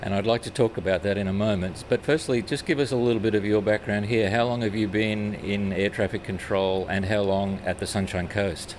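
Very deep-voiced interviewer. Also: female voice, fairly even intonation, a little mellow, slight background noise. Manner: brief prompts, then unhurried questions. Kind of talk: interview questions. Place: at a regional airport.

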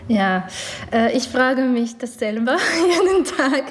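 A woman's voice: high voice, expressive, slow. A woman from Ukraine, raised in Canada, speaking German as a second language, hesitant with many fillers. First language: not German. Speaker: yoga teacher, guest. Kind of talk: interview.

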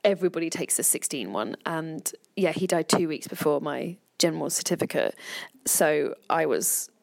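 A woman's voice: low voice, expressive, moderate pace, vocal fry, a little mellow. An English woman in her thirties, talking candidly in a podcast conversation and swearing freely. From England.

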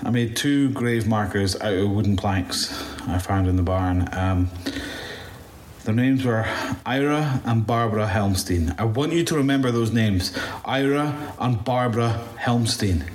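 A man playing a shaken, bitter apocalypse survivor in an audio drama monologue, halting, stammering and swearing as he recounts his ordeal. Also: fairly low pitch, a little mellow, natural voice. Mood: bitter, strained. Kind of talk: first-person audio drama monologue, confessional. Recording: roomy echo, faint background noise.

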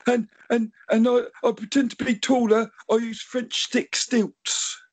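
A man's voice: very high-pitched, level tone, measured pace, husky, heavily acted. A man voicing a small, chatty, earnest elephant who stammers and fusses as he hosts a comedy podcast quiz. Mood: indignant. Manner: stammering, pedantic.

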